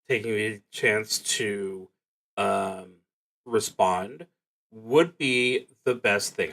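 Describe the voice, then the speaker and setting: A man narrating a solo tabletop game on a livestream, thinking aloud in a casual, halting way with pauses mid-sentence. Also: fairly low pitch, fairly bright tone. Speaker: solo tabletop roleplaying streamer.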